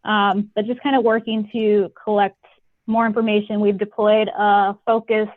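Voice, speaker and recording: A woman's voice: medium pitch, flat delivery, moderate pace, no echo. A woman speaks over a narrow, phone-like conference connection with very little room sound. She gives an informal update in a conversational, explanatory way. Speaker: public health epidemiologist. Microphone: conference call connection. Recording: muffled phone-line conference call audio.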